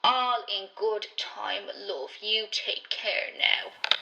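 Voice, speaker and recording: A woman's voice: medium pitch, very slow, creaky, pushed and bright, slight background noise, exaggerated voice, poor audio. A woman playing a fussy, effusive elderly Irish club lady leaving a sympathetic voicemail in a radio drama. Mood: tender, consoling.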